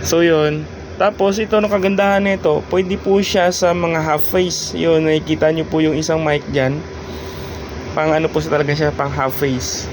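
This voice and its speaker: A Filipino man with a fairly high voice narrates a casual tutorial for his vlog. He speaks informally and haltingly, often searching for his words. Clear background noise runs behind him. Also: fairly even intonation. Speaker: motovlogger and host giving a product installation guide.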